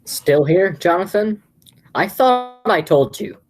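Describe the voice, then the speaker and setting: A woman's voice: lowish voice, expressive, slow pace, a little mellow, soft background music. A woman playing a flustered, scheming man in an amateur radio play. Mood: threatening. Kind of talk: radio play acting, high school theatre.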